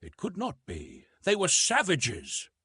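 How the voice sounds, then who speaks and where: A man's voice: high voice, huge pitch swings, slow pace, sharp tone, breathy edge, no echo, studio quality, voice pushed up, exaggerated voice. A man voicing an earnest, passionate young woman in an audiobook, breathless and vehement. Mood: vehement denial.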